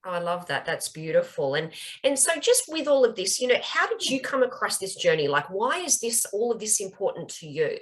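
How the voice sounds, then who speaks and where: A woman's voice: medium pitch, very animated, brisk, hardly any pauses. A woman hosting an interview, answering with enthusiastic affirmations and asking questions in casual chat over a video call. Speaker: interview host.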